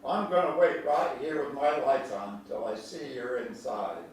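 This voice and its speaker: A man's voice: mid-range voice, unhurried, natural voice. An actor playing a folksy older country man, a kindly, teasing storyteller with a homespun drawl, in a theatrical staged reading. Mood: playful, mock alarm. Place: large echoey indoor room at a staged reading. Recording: echoey hall, distant mic, faint noise.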